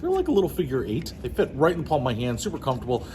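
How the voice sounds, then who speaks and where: A man's voice: mid-range voice, huge pitch swings, rapid-fire, a little mellow, a little hiss or hum. A man giving an upbeat, informal product review to camera as the host, with punchy, slangy phrasing.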